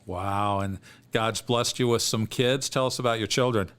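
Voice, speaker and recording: A man's voice: lowish voice, steady tone, moderate pace, fairly bright tone, smooth. A man, hosting an interview in an eager, affirming manner. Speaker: podcast host.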